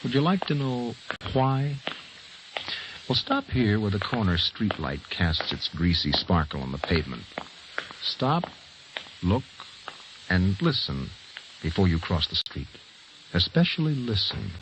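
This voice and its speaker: A man's voice: lowish voice, very animated, unhurried, pauses often, noisy background. An actor playing a menacing, taunting narrator in an old radio thriller, speaking theatrically and insistently straight to his victim. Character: menacing, taunting male narrator stalking a doomed man with words. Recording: old radio drama with music behind.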